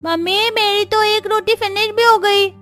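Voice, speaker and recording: A woman voicing an eager, impatient little schoolboy in an animated family story. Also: very high voice, moderate pace.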